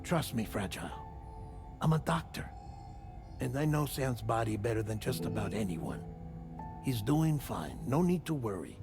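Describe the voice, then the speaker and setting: A man's voice: mid-range voice, sing-song, normal speed, pauses often, music in the background. An actor playing a confident, genially wordy male doctor in a video game, chatty and reassuring. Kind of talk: video game cutscene acting.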